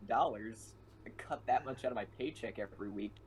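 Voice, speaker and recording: A man's voice: mid-range voice, fairly quick, breathy edge. A man talking casually as a player in an online tabletop game. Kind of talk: casual chat. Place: online voice call. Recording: group chatter on voice call.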